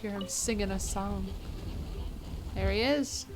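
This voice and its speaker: A woman's voice: mid-range voice, takes it slowly, background noise. A woman giving casual gameplay commentary, talking to herself in short, offhand reactions. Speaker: video game streamer.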